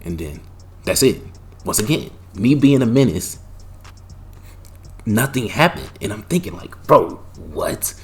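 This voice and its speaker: A young man casually telling a personal story, animated and profane, with loud bursts and lots of slang. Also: fairly low pitch, unhurried, long pauses. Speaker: storytime vlogger.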